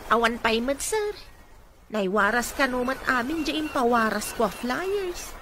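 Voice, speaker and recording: A woman's voice: fairly high pitch, sing-song, measured pace. A woman playing a deferential, friendly, gossipy housekeeper in a radio drama. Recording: clearly noisy background.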